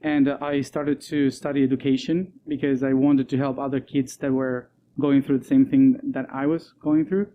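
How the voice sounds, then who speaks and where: Soft-spoken man. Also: mid-range voice, flat delivery, highs cut off, a little hiss or hum. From Argentina. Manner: soft-spoken, laughs often, many um and uh pauses. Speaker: guest. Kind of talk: casual interview talk.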